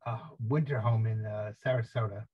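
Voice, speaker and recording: A man's voice: lowish voice, steady tone, normal speed, breathy edge, lo-fi sound. A middle-aged man from New Jersey with a New Jersey accent, chatting and joking on a video call. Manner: chatty, joking, repeats words. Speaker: guest. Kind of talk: casual chat.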